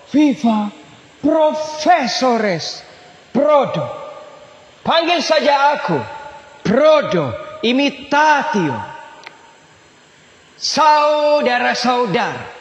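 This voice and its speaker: A grandiose crooked rector in a stage satire, really high voice, slow drawn-out speechifying, big reverby hall. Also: male voice, a bit bright, exaggerated voice. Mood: boastful. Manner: theatrical, grandiose, drawn-out declamation with sudden swings. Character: pompous scheming fake-degree university rector, self-styled saviour god.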